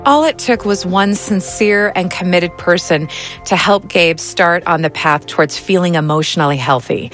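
Brief: woman host, lowish voice with lively, expressive intonation, earnest scripted narration, faint music underneath. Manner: measured, earnest storytelling.

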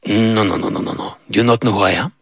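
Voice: medium pitch, fairly even intonation, husky, no room sound, studio quality, pitched below the performer's own voice, natural voice. A storyteller doing a boastful bullying goat. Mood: taunting. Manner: taunting, drawn-out threats. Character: greedy boastful male goat from the hills.

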